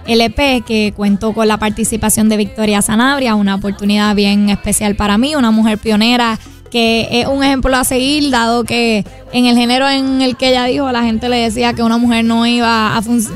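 A woman's voice: on the high side, little pitch movement, a little fast, faint music behind. A young woman with a Puerto Rican accent, talking in an informal, flowing way as a singer-songwriter in a radio interview. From Puerto Rico. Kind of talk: conversational promotional radio interview.

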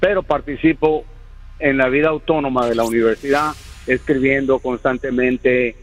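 An older man in his sixties speaks with a Guatemalan Spanish accent, unhurried and thoughtful as he calls in to a radio program. His voice sits at an ordinary middle pitch. Soft music plays faintly behind him. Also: steady tone, poor audio. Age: 60s. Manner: earnest, reflective, measured storytelling. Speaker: caller. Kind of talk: phone-in radio call.

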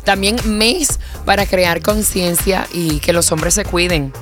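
A woman's voice: fairly low pitch, takes it slowly. Chatty woman radio host over music. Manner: chatty and teasing, exclaims often. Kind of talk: casual radio chat. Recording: music bed behind the voice.

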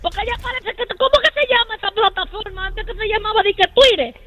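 A squeaky cheeky little boy. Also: fast. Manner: childish, giggly, rambling. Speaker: comedy sketch character. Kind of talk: radio comedy bit. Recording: radio show with loud music behind.

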